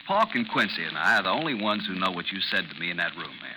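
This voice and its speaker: Earnest, pleading ex-officer, noisy recording. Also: male voice, deep voice, sing-song, fast talker. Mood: pleading, firm. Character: earnest, protective male friend, a former army officer. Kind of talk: 1950s radio drama acting. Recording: noisy, sizzling 1950s radio recording.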